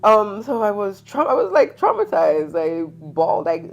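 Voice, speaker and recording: A woman's voice: medium pitch, normal speed, creaky, dull audio. A woman in her thirties from New York, hosting an interview over a video call and telling stories with lots of laughter. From New York, United States. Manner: animated storytelling, laughing often. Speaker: host. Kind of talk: casual interview chat.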